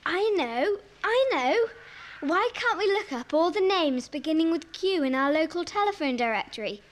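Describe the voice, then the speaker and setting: A woman's voice: very high voice, expressive, moderate pace. A woman playing an eager, clever schoolgirl in a children's audio drama. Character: Pam, very high-voiced eager clever schoolgirl.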